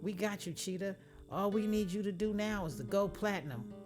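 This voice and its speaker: A man's voice: high-pitched, brisk, a little mellow, pitched above the performer's own voice, close to an everyday voice. A man voicing an earnest young male friend who encourages his buddy, with guitar music behind. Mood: encouraging.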